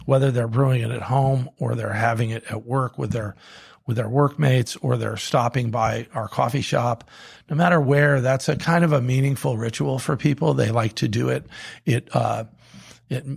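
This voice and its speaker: A man with a heavily gravelly, husky voice speaks as an interview guest. He talks in a halting, reflective way, circling back and restarting his sentences. His pitch sits in the ordinary middle range for a man. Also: fairly even intonation. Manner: halting, many restarts and fillers. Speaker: coffee company co-founder, guest.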